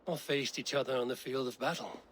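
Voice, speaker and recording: Man acting steady Viking warrior, faint ambience. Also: medium pitch, fairly quick, a little hiss or hum, natural voice. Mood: admiring. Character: bold, steady Norse Viking warrior, plainspoken and warm-hearted. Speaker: game character. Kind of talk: dramatic game cutscene acting.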